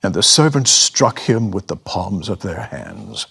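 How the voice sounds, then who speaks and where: A man narrating scripture dramatically, deliberate and grave in his delivery. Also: sits low, big pitch swings, vocal fry, bright, forceful tone, slightly rough.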